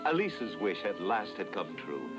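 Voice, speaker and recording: Animated male storybook narrator. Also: sits low, a little slow, rough recording. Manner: dramatic, expressive storytelling. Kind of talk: scripted storybook narration for a dubbed cartoon. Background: loud music.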